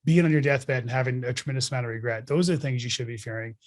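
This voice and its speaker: A man's voice: medium pitch, rapid-fire. A man in his forties talking as a guest in an interview, blunt and emphatic, giving motivational advice with casual swearing. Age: 40s. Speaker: podcast guest, real estate investor.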